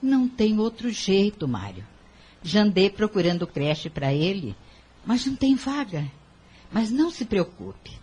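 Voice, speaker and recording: Woman acting a husky, long-suffering poor mother. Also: mid-range voice, very animated, a little slow, mellow. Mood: tender, resigned. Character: poor, hardworking, devout, resigned mother. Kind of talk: audio drama acting.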